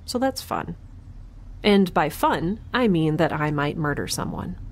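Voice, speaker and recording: Wry, low-voiced space scientist. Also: female voice, expressive, a little hiss or hum. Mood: wry, chatty. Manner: chatty, sardonic, halting when flustered. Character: wry, frazzled young woman scientist alone in space. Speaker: lead actor and creator of the podcast. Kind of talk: first-person audio drama, spoken log.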